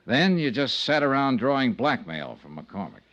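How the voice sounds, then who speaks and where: A man's voice: mid-range voice, very animated, moderate pace, a bit bright. A male actor playing a hard-boiled, incorruptible insurance investigator in a 1950s radio detective drama, clipped and wry. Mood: stern, weary. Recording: muffled old radio recording with music.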